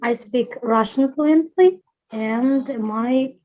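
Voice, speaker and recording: Woman on a video call, slightly high voice, slow and careful, restarts a lot. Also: a little mellow, highs cut off. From Kazakhstan. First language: Kazakh. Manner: careful and halting, restarts sentences. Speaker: online English teacher, guest. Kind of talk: video call self-introduction.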